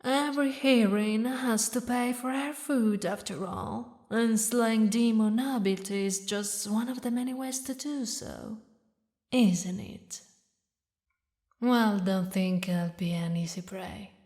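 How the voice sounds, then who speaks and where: A woman voicing a haughty, mocking demon queen who mourns a lost lover, speaking in slow, theatrical, regal phrases for an audio roleplay. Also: a little high, darkish tone. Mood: mocking, menacing. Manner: slow, taunting, regal, with dramatic pauses. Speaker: villainous ruler addressing a knight.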